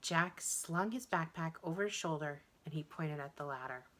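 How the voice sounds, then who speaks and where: A woman reading a children's story aloud in an expressive, deliberate way. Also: sits low, heavy background noise. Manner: animated, deliberate, acts out dialogue. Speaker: storybook reader.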